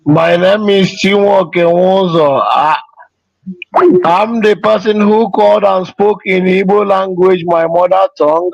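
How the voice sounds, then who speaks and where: A man's voice: sits high, creaky, dry recording. A man from Nigeria calling in to a radio show, speaking in an earnest, pleading way. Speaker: caller. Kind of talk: apologetic phone-in call. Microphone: online call. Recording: dry, dull call audio.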